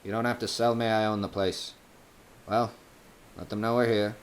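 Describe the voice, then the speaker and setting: An actor playing a grumpy, tight-fisted, skeptical property owner in a radio drama, curt and grumbling. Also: male voice, lowish voice, flat delivery, normal speed, clear, resonant tone, close to an everyday voice. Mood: grudging. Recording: loud background noise, hum and clicks.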